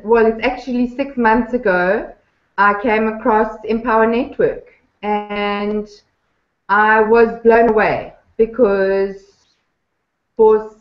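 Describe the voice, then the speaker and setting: A woman, a guest talking about her life in warm, enthusiastic, unhurried speech with thoughtful pauses, heard over a remote call. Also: mid-range voice. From Zimbabwe. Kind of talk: casual interview chat. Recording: echoey, dull lo-fi remote line.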